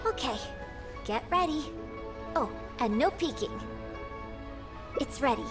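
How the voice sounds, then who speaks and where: A woman playing a friendly young female singer in a video game, speaking in a gentle, chatty, politely playful way. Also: high voice, animated, slow, recorded from a distance. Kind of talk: video game character dialogue. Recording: game audio with music behind.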